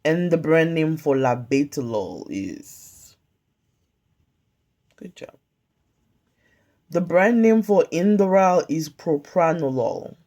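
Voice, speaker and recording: Casting: calm woman study tutor, slow deliberate delivery, mellow tone, home phone recording. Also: low voice, lo-fi sound. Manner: calm, measured, question-and-pause drilling. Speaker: pharmacy tech study tutor. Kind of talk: slow flashcard-style quiz review. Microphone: phone camera filming whiteboard.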